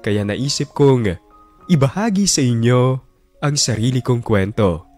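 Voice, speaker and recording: A man's voice: medium pitch, darkish tone. A man in his twenties earnestly narrating his own story for a radio drama, pleading not to be judged. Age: 20s. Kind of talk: scripted confessional narration. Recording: music bed under narration.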